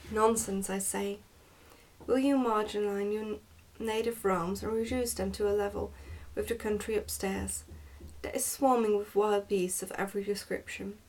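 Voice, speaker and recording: A woman's voice: mid-range voice, steady tone, normal speed, a little mellow, close to an everyday voice, rough recording. A woman voicing a stern, pompous goblin father in an ASMR storybook reading, scolding and commanding. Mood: indignant, scolding.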